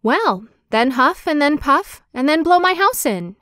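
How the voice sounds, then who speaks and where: A woman's voice: high-pitched, sing-song, a little slow, fairly bright tone, no room sound, studio quality, pitched above the performer's own voice, exaggerated voice. A woman voicing a small, cheerful young male piglet in a storybook, with a perky sing-song manner. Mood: cocky, defiant. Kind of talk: storybook character voice.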